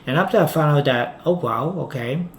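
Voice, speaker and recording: A man speaking English with a Hong Kong accent, Cantonese his first language, talking on his own about stocks with many pauses and fillers. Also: fairly high pitch. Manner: unhurried, with frequent um and uh and loud emphatic phrase starts. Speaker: finance YouTuber. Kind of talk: solo monologue to camera. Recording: roomy, with faint background noise.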